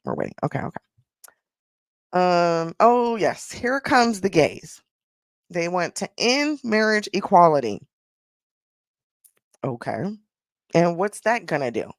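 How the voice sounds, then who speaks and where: A woman's voice: lowish voice, very animated, takes it slowly, long pauses, no room sound, clean studio sound. A woman hosting a casual commentary podcast, talking in a sassy, blunt way, stretching out her rhetorical questions. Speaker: podcast host.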